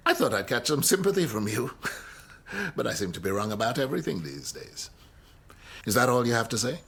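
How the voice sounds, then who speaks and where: Arrogant professor, middle-aged, radio drama. Husky, animated, pompous and defensive. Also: male voice, mid-range voice, normal speed, long pauses, full character voice. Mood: indignant. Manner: pompous, rhetorical, wordy. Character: arrogant, defensive male university professor under fire. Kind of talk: naturalistic radio drama acting.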